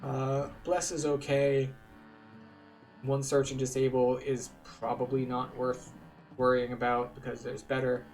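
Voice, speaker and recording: A man's voice: mid-range voice, little pitch movement, a little slow, a little mellow, faint music behind, low-quality recording. A man giving casual, explanatory commentary on a game walkthrough. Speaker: game streamer.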